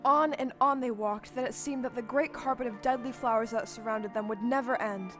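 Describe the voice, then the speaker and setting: A woman narrating an audio play with scripted, expressive storybook delivery. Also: fairly high pitch, fairly quick. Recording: live stage recording with music.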